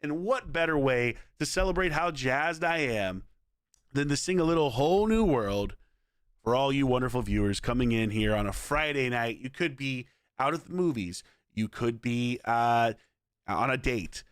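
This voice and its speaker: A man with a hoarse voice, putting on a smooth, crooning karaoke lounge host with over-polite emcee patter. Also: medium pitch, lively intonation, normal speed, no echo, studio quality, lightly acted. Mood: suave.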